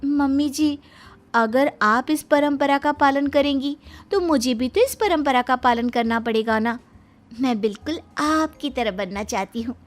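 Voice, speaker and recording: Woman as sweet, high-voiced young daughter-in-law. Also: measured pace. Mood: mock innocent. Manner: polite, pointedly earnest.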